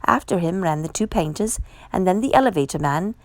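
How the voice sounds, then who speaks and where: A woman reading a children's picture book aloud with measured, emphatic storyteller phrasing. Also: on the low side, lively intonation, no room sound. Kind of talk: expressive children's read-aloud narration.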